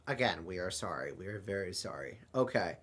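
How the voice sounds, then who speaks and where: A man playing a flustered, apologetic multiverse traveler stranded in a void, halting and repeating words in a loose improvised audio roleplay. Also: low-pitched, animated, fairly bright tone. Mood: apologetic, worried. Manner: halting, stammering, repeats words, thinks aloud. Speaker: roleplay performer.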